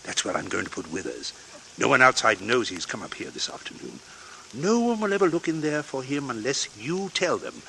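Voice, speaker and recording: A man playing a cold, murderous father in an old radio drama, giving measured, commanding threats. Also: medium pitch, very animated, gravelly, sharp tone, exaggerated voice. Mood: quiet menace. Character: cold, resourceful murderous father coolly blackmailing at gunpoint. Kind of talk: 1940s radio acting. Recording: noisy vintage radio drama recording.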